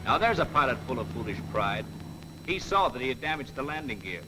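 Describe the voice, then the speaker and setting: A man's voice: mid-range voice, very animated, a little fast, noisy background, close to an everyday voice. A man playing a wisecracking, folksy narrator in a 1940s training film, telling cautionary tales with slangy, wry, theatrical delivery. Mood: wry, admonishing. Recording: old 1940s film soundtrack, loud music behind.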